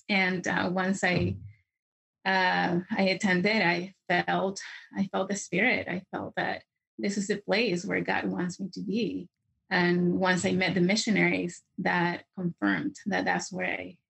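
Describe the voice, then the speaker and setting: A woman, earnestly telling her personal story as a podcast guest, often pausing with fillers. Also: mid-range voice, vocal fry, a bit bright, slightly rough, rough recording. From Chile. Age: 40s. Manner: earnest, hesitant with frequent fillers.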